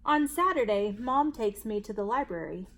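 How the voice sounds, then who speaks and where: A woman hosting a children's story time, reading aloud expressively and deliberately for young listeners. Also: fairly high pitch, expressive, fairly bright tone.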